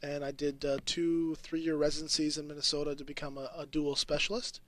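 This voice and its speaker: A man in his thirties from Alberta, a dentist guest on a radio show, relaxed and laughing easily. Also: fairly high pitch, fairly quick, no room sound. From Alberta, Canada. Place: small radio studio.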